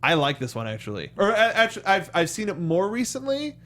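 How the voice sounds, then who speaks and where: A man's voice: high voice, huge pitch swings, fairly quick, fairly bright tone. A man hosting a review show in a casual, informal and enthusiastic way. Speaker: show host.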